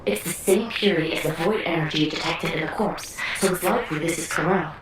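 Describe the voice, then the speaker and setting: A cool, seasoned female squad fighter from a video game, acted by a woman. Her voice sits a little low and sounds brisk and commanding, with some fondness. It is heavily echoed over faint background ambience. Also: background noise, lightly acted. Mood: businesslike.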